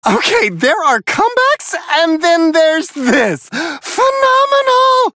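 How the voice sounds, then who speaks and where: A man voicing a serene, refined angel attendant who gives polite, flowery, amused fight commentary in a video game, in a high, airy voice. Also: sing-song, slow pace, bright, forceful tone, no room sound, clean studio sound, full character voice. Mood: delighted, excited. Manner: polite, flowery, genteel phrasing.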